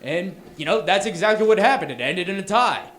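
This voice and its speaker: A man from Texas with a Texan accent, doing a stand-up set in a small bar, loud and punchy with stumbling restarts. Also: high voice, animated, a little fast. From Texas, USA. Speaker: stand-up comic. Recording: echoey bar room.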